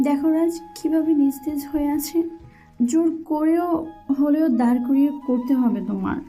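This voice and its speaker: A woman's voice: high voice, lively intonation, normal speed, dark tone, voice pushed up, low-quality recording. A woman voicing a reluctant, pleading young newlywed wife in a romantic audio drama. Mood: plaintive, reproachful. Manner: plaintive, protesting. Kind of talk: romantic audio story dialogue. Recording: music behind the voice.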